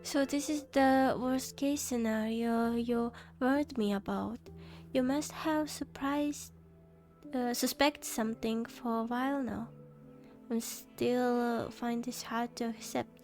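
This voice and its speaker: A woman livestreamer chatting casually as she plays a game, with a halting, soft-hearted manner. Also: fairly high pitch, steady tone. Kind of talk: casual game stream chat, reading along. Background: game music.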